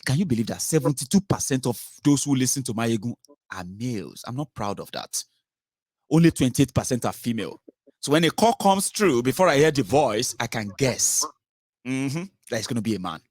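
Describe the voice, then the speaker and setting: A man's voice: mid-range voice, no room sound. A man with a Nigerian accent hosting a political phone-in, talking conversationally and emphatically, with rhetorical questions and tags. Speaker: host of a political phone-in show. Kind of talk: conversational political commentary with callers.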